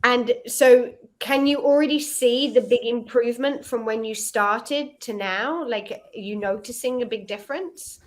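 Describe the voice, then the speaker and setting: A woman's voice: a little high, unhurried, few pauses. Chatty woman with a British accent. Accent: British English. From Israel. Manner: chatty, rambling with many likes and ums. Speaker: online English tutor. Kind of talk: casual video-call lesson chat. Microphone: video call.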